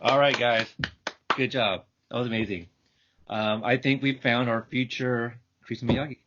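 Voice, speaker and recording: A man hosting a podcast, talking casually and off the cuff in a halting, rambling way. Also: mid-range voice, steady tone, background noise. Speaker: podcast host. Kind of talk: casual, unscripted show wrap-up. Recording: dull, lo-fi call audio.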